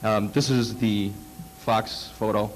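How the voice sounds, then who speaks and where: Man, conference presenter with a cold, halting delivery full of fillers, lower voice. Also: fairly even intonation, slight background noise, poor audio. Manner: halting, many fillers and restarts. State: coming down with a cold. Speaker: conference speaker. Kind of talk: slide presentation talk. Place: conference hall.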